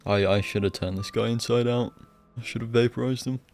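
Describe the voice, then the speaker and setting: A man's voice: mid-range voice, steady tone, brisk, a little mellow. A man giving casual livestream game commentary, blunt and exasperated, with a sweary, ranting manner. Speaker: game streamer. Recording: game music behind voice.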